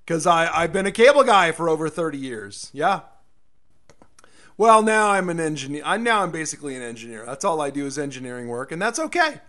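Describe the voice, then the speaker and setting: A middle-aged American man hosting a casual solo radio show, rambling and folksy, with a slightly hoarse voice. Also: a little high, big pitch swings, brisk, fairly bright tone. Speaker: radio and podcast host.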